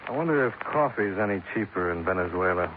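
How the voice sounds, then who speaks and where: An actor playing a cool, sardonic American insurance investigator, speaking in the dry, clipped style of 1950s hard-boiled radio drama. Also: male voice, deep voice, lively intonation, fast talker, slight background noise. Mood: wry. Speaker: lead and first-person narrator. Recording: tinny old radio recording with music.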